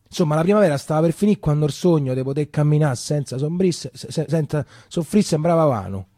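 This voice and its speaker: An actor playing a Roman man recalling his wartime boyhood in an emotional, colloquial, halting theatrical monologue with a Roman accent. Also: fairly high pitch, animated, warm tone, no room sound, clean studio sound. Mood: somber, reflective. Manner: colloquial, halting, repeating himself. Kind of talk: theatrical first-person monologue in dialect.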